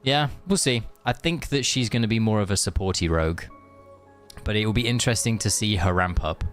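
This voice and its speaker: A man's voice: fairly low pitch, fairly quick, soft background music. A man chatting casually on a game live stream, rambling and answering viewers as he plays. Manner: rambling, chatty, reads chat aloud.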